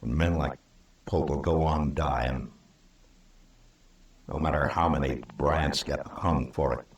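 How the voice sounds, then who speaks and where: Frontier marshal, American, deep voice, stern and deliberate, old radio-western delivery, echoey recording. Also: male voice, exaggerated voice. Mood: solemn lecturing. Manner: firm, deliberate, moralizing. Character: stern, principled Old West U.S. marshal, grave and weary. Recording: roomy, distant vintage radio recording.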